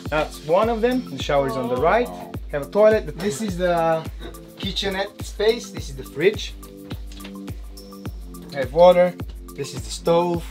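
A man's voice: sits high, animated, measured pace, pauses often, music in the background. A young man showing friends around a sailboat in a plain, matter-of-fact way. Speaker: trip organizer, skipper. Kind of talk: casual guided tour.

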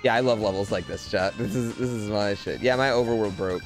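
Gravelly male game streamer over game music. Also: fairly low pitch, fairly quick. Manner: profane, excitable, slangy. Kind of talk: casual live game commentary to chat. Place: home streaming room, indoors. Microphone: close desk microphone on an arm. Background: video game music.

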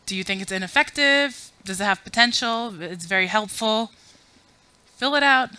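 Bright-voiced woman joking through a talk. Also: a little high, normal speed, clear, resonant tone, dry recording. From Afghanistan. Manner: chatty, self-deprecating, joking asides. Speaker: conference speaker. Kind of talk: conference talk with audience polls. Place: conference room with audience. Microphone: podium mic.

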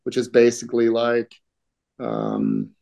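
A middle-aged man speaks at an ordinary pitch with a warm, dark tone. He talks from a room at home over a laptop microphone, full of "you know" and "um". He keeps stopping to clear his throat. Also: very animated, slow. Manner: conversational, many fillers, clears throat often. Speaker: union political director, guest. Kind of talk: casual video-call interview.

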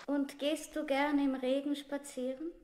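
Slow, high-voiced insistent questioner. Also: female voice, little pitch movement. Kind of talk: avant-garde 1960s German radio play. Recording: echoey, very noisy recording.

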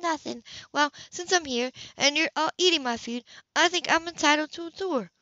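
A woman voicing a proud, fiery female social worker, sharp and indignant, in an audiobook romance. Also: high-pitched, normal speed, no room sound, lo-fi sound, pitched above the performer's own voice. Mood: sharp, angry.

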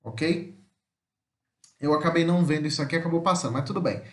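A man with a Brazilian Portuguese accent giving an informal lesson, reading aloud and explaining haltingly, often checking that listeners follow. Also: on the high side. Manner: halting, repetitive, frequent tag questions to check understanding. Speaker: language teacher. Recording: roomy, echoing voice-over.

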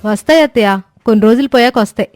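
A woman's voice: a little high, very fast, a little mellow, no room sound, lightly acted. A woman voicing a cheerful but stubborn young village daughter-in-law in a cartoon story, earnest and pleading. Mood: reassuring. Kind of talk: cartoon moral-story voice acting.

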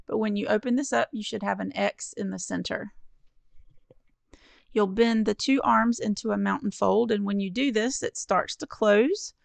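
A woman's voice: mid-range voice, steady tone, normal speed, gravelly, dry recording. A woman narrating a step-by-step card-making tutorial in a friendly, encouraging, instructive way. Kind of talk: step-by-step craft tutorial voice-over.

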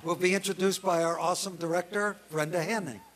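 A man's voice: high-pitched, fairly even intonation, a little fast, a bit bright. A man acting as emcee, making formal introductions at a commencement ceremony. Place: outdoor college commencement ceremony.

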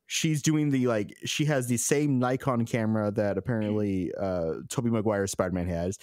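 A man co-hosting a podcast, making deadpan jokes and listing things off one by one. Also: lowish voice, normal speed, no echo, clean studio sound. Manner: deadpan joking, itemizing. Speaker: podcast co-host. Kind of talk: casual chat.

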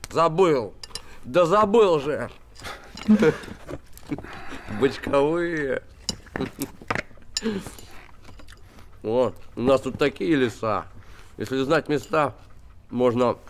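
An actor in his thirties playing a gruff, warm-hearted small-town handyman, lovesick and bitter, talking in blunt colloquial speech in a naturalistic TV drama. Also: medium pitch, animated, slow pace, pauses often, a little hiss or hum. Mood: fond, wistful. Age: 30s. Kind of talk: naturalistic television drama acting. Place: small wooden house room.

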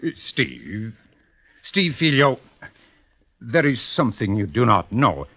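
An actor playing an impatient, ailing old fencing master, halting and emphatic, in a 1950s radio drama. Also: male voice, on the low side, very animated, pushed and bright, slightly rough, full character voice. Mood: halting, confiding. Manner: halting, emphatic, repeats words.

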